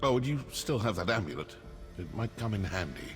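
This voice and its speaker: An actor playing a sly, wry assassin in a video game, talking in a theatrical yet conversational way. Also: male voice, fairly low pitch, very animated, a little fast. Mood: pondering, suspicious. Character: sly, wry male Dark Brotherhood assassin, menacing yet curious. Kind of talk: video game character dialogue. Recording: long reverberant hall, music behind.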